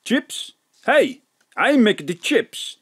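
Animated, flirty foreign male cook. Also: high voice, very slow, slightly rough, heavily acted. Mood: cheerful, flirty. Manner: broken English, eager and excitable. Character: cheerful, flirty foreign café cook with broken English. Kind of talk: audio drama acting.

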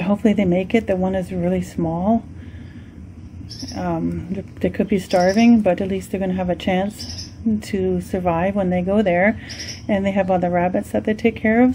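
A woman's voice: medium pitch, warm tone, a little hiss or hum. A woman talking casually and off the cuff, as a vlogger filming an animal rescue on a phone. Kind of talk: casual unscripted vlog narration. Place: outdoors on grass, later indoors and in a car. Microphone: handheld phone.

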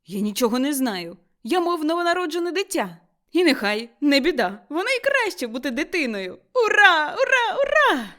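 An elderly man bursting with giddy joy in a storybook tale, acted out by a woman reader. His voice sits high and leaps around excitedly. He exclaims and repeats himself like someone newly reborn. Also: a little fast. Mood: elated wonder. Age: older. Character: elderly miser Scrooge, giddily overjoyed and reborn, man.

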